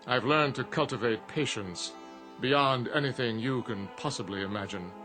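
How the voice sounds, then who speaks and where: An actor playing an urbane, smug, ancient genie in a radio drama, with a suave, formal, theatrical manner. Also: male voice, mid-range voice, moderate pace, over music, close to an everyday voice. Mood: suave, teasing.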